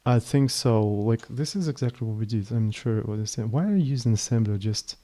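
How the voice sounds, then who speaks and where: A man's voice: medium pitch, warm tone. Tired Slavic-accented man thinking aloud. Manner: halting, thinking aloud, trailing off mid-sentence. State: mentally tired. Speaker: live-streaming programmer. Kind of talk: casual live coding stream.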